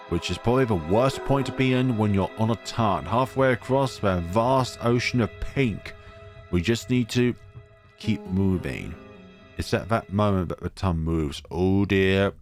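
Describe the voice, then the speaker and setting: A man reading a visual novel aloud in a dramatic way, adding asides and correcting his own stumbles. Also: fairly low pitch. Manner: theatrical, stumbles and corrects himself. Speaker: let's play host. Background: music.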